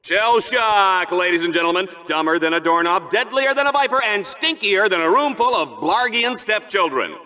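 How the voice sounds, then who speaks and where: Bright, high-pitched, bombastic game show announcer. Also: male voice, exaggerated voice. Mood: gleeful mocking. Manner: showy, exaggerated, mock-dramatic delivery. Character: smarmy, gleeful game show announcer for deadly combat sport. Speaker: sports show host. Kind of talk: bombastic sports commentary in a video game.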